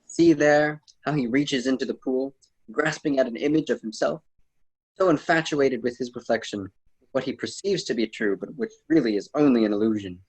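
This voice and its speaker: A man's voice: medium pitch, moderate pace, a little mellow, slightly rough, natural voice, low-quality recording. A man playing a patient ancient Roman poet and mentor in a radio drama, speaking in formal, old-fashioned phrases. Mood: grave, instructive. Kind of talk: theatrical radio drama dialogue.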